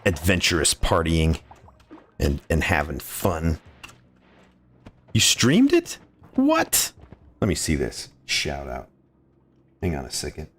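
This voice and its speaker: Very deep-voiced male livestreamer. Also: fairly bright tone. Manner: effusive, playful, repeats phrases, goofy asides. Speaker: livestreamer playing a game and greeting chat. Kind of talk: casual livestream chat and game commentary.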